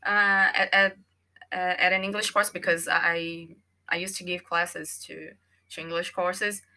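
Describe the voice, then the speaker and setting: Bright Brazilian woman with a Portuguese accent. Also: fairly low pitch, level tone, unhurried. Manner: thoughtful, searching for words. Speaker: podcast guest, former English teacher. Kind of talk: casual interview chat.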